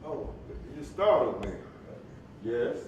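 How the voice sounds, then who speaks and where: An actor playing a polite, uneasy married preacher in staged reading dialogue, guarded and reserved. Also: male voice, medium pitch, sing-song, slow pace, slight background noise. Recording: echoey, muffled, distant hall recording.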